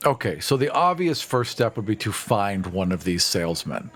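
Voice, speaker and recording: A man's voice: on the low side, sing-song, normal speed, fairly bright tone. A man playing a tabletop game, chatting casually with wry, quipping remarks.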